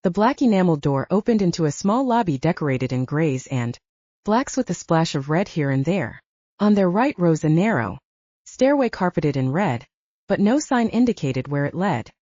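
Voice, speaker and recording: A woman's voice: lowish voice, big pitch swings, normal speed, dark tone, no echo, dull audio. A woman reading a romance novel aloud as a scripted audiobook narrator.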